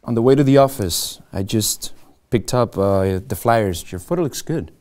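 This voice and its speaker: Needs a man playing a lower-voiced, anxious middle-aged realtor who talks himself down. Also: expressive, moderate pace, darkish tone, close to an everyday voice. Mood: eager. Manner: earnest, hesitant, self-mocking. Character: insecure middle-aged male realtor, self-deprecating. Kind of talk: table read of a screenplay.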